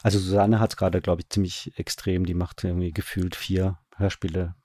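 A man with a low, husky voice explains things as a casual interview guest. His tone is dark and mellow, and he speaks haltingly, reworking his sentences as he goes. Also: flat delivery, no room sound. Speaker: radio drama director, interview guest.

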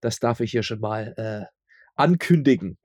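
A man's voice: lowish voice, animated, normal speed, darkish tone, dry recording, studio quality. A man speaking as a guest in a casual interview, rambling and often repeating his words as he explains his audio drama work.

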